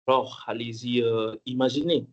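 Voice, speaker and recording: A man's voice: mid-range voice, fairly even intonation, moderate pace, dull audio. A young man from the Congo giving a talk at an online conference, hesitant with many fillers and restarts, repeating points for emphasis. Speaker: conference speaker. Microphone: online call mic.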